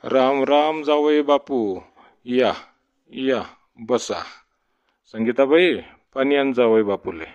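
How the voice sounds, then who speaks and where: A man's voice: mid-range voice, slow pace, long pauses, a little mellow, clear, resonant tone, close to an everyday voice. A man voicing a fussy, complaining village father-in-law in a comedy cartoon, with a Varhadi Marathi accent. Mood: folksy. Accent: Varhadi Marathi from Vidarbha. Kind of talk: comedy cartoon dialogue in rural dialect. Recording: dull, muffled sound.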